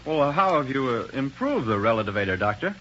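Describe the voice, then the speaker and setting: A man's voice: mid-range voice, sing-song, a little fast, background noise, natural voice. A man playing an eager, boyish young space hero in a 1930s radio drama, on an old radio recording. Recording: dull old radio transcription.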